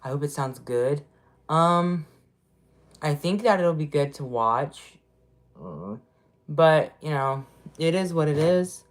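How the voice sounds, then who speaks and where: A woman's voice: low-pitched, expressive, slow, long pauses. A woman hosting a casual livestream, chatting in an upbeat way, repeating herself and greeting viewers as they arrive. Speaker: livestream host.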